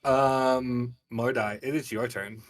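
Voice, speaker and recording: A man's voice: medium pitch, fairly even intonation, slow pace, far from the mic. A man acting as game master, casually narrating players' actions and scenes in a tabletop game.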